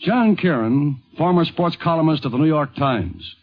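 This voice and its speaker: A man narrates a vintage 1950s radio documentary from a script. His voice sits a little high, over music, in a dull old broadcast recording. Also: lively intonation, moderate pace. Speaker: narrator and host.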